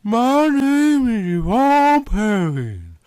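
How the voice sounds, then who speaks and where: A man's voice: extremely high pitch, huge pitch swings, extremely slow, darkish tone, smooth, no echo, voice pushed up, full character voice. A man reading a story aloud, voicing a flirtatious red-haired woman of about thirty-five with a Southern US drawl. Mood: coy. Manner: coy, drawling.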